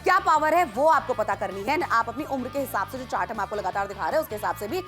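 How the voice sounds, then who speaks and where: A woman's voice: a little high, animated, fast talker, over music. A woman co-presenting on a home shopping channel, emphatic and eager as she sells. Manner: eager, emphatic selling with exclamations. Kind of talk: live TV sales pitch. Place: TV shopping studio.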